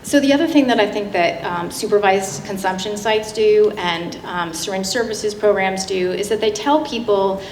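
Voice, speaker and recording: A woman giving a public slide presentation in a conversational, halting way with frequent asides. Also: medium pitch. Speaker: guest speaker giving a public talk. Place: indoor public talk venue. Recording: roomy, echoing hall with noticeable noise.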